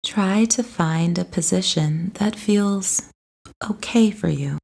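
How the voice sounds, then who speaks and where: A woman narrating a scripted guided meditation in a soothing, gentle, unhurried way. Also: medium pitch, creaky.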